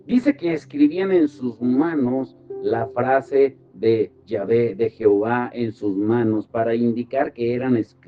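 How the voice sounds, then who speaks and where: A man's voice: mid-range voice, slow, few pauses. A man teaching a devotional Bible study as a pastor. He asks the group questions and sends them to verses. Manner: asks rhetorical questions and directs listeners to verses. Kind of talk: teaching sermon. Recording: slightly dull with music behind.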